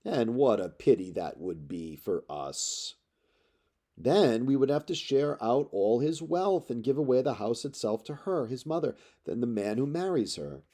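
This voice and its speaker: Haughty young man acted by a male reader, epic storybook villain, sarcastic, callous, swooping pitch. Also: sing-song, unhurried, dark tone. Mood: mock pity. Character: proud, mocking young suitor, callous and sarcastic.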